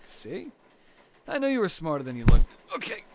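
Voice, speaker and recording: A man playing a caring, gently teasing young boyfriend in an intimate roleplay audio drama, reassuring his partner with sighs and soft talk. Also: fairly high pitch, big pitch swings, normal speed, noisy background. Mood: teasing, reminiscing.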